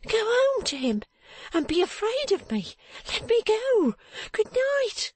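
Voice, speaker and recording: A woman's voice: very high-pitched, very animated, takes it slowly, a little mellow, no echo, pitched above the performer's own voice, full character voice. A woman voicing a distraught, bitter young woman of the streets in a classic novel, crying out in anguished, urgent bursts. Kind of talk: dramatized audiobook reading of a classic novel.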